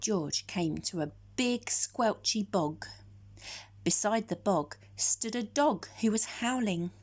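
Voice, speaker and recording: A woman reading a children's story in a measured, expressive storytelling manner. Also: mid-range voice. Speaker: storytime reader. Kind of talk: scripted children's story narration.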